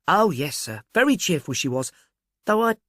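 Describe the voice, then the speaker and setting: A timid young working-class woman, acted by a male narrator, polite and eager to defer. The pitch is lifted and moves about freely, with a hesitant, earnest delivery in audiobook dialogue. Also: sing-song, normal speed, a bit bright, no echo, clean studio sound. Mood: earnest, uneasy.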